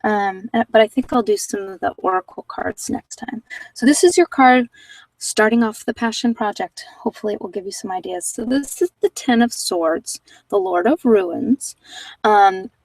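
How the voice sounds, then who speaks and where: A woman's voice: mid-range voice, moderate pace, darkish tone, dry recording, distant mic, rough recording. A woman talking in a casual, conversational way as she hosts an informal tarot card reading. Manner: conversational, informal, anecdotal asides.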